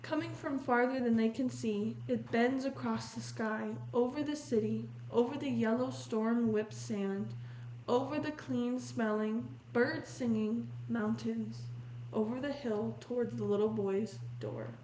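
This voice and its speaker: A woman reading a picture book aloud in a measured, descriptive storytelling manner, pausing to comment on the illustrations. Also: a little high, fairly even intonation, dark tone. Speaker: read-aloud host. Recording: roomy echo with faint noise.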